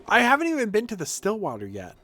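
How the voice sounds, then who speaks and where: A man's voice: sits high, huge pitch swings, fast talker, sharp tone. A man casually commentating as he streams a video game, chatting in a playful, exclaiming manner. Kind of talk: casual game commentary with chat and reading aloud.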